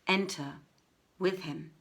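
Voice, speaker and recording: A woman narrating an archaic tale as an audiobook reader, in a theatrical, emphatic and deliberate storytelling manner. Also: lowish voice, fairly bright tone, slightly rough.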